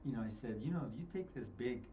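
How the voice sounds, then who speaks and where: A man hosts a relaxed studio interview, joking and teasing his guest. His voice swings lively up and down. The recording is roomy and dull, picked up from a distance. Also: medium pitch, quick pace, slight background noise. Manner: playful teasing, laughs often. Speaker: host. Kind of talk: casual interview chat.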